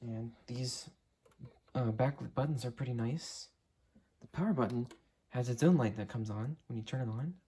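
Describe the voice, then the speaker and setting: A young man in his late teens giving a casual, unscripted product demo in a halting, understated way. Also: medium pitch, warm tone, slight background noise. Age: late teens, young adult. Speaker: hobbyist reviewer. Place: indoor room at a desk.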